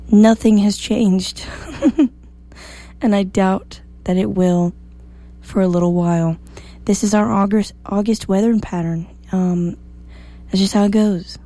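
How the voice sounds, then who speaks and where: A woman hosting a morning radio show, slightly hoarse, chatty and upbeat as she reads the weather. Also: medium pitch, steady tone, takes it slowly, warm tone. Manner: chatty, upbeat, self-joking. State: a little hoarse.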